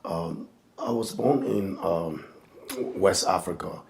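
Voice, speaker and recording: A man in his fifties, a pastor, telling his life story in a halting, earnest way with many restarts. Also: low-pitched, gravelly. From Liberia. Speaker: pastor, guest. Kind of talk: personal testimony in an interview.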